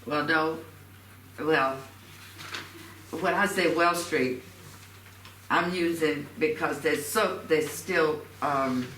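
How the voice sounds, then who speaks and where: Slow, halting, bright-voiced man in echoey room. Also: a little high. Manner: halting, searching for words, repetitive. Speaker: city council member. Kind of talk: informal public meeting discussion. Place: public meeting room. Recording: echoey room, distant mic, faint noise.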